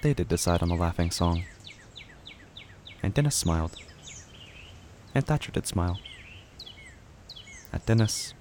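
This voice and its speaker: A man narrating a scripted horror audio drama in short, deliberate, clipped fragments. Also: deep voice, expressive, background noise.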